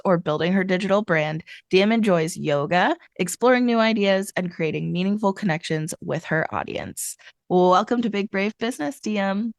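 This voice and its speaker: A woman's voice: mid-range voice, no room sound, clean studio sound. A woman hosting a podcast, talking in an upbeat conversational way with frequent "like". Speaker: podcast host. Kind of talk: podcast interview.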